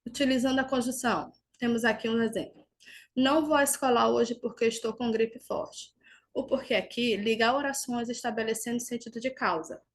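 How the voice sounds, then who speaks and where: A woman's voice: on the high side, steady tone, normal speed. A woman teacher, giving a recorded grammar lesson over slides and explaining step by step with small restarts.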